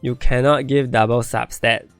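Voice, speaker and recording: A man's voice: mid-range voice, steady tone, normal speed, clean tone, no echo, faint music behind. A man streaming a game and reviewing viewer accounts, thinking aloud and repeating himself as he starts to lose his voice. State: losing his voice. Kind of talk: casual live-stream commentary.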